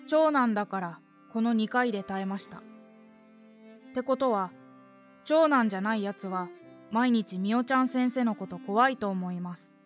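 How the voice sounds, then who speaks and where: Woman playing an earnest young schoolboy, high voice. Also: normal speed, soft background music, lightly acted. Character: earnest twelve-year-old boy, a sixth-grade pupil. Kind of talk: voice drama acting, letter reading.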